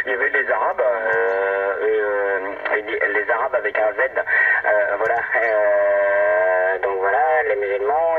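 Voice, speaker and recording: A middle-aged Frenchman chatting casually and hesitantly over a CB radio link, rambling in an easygoing way. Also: lowish voice. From metropolitan France. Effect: CB single-sideband radio. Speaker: amateur CB radio operator. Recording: narrow tinny radio link, noisy, distant.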